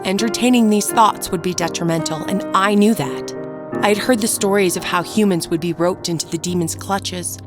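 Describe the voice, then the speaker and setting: Scorned wife narrator, female actor, dark fantasy audio drama: lowish pitch, solemn, deliberate, bitter, music underneath. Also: close to an everyday voice. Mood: grave, wary. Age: middle-aged. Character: wronged, bitter village wife nearing forty, recounting her tale. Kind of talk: scripted first-person dark fantasy narration.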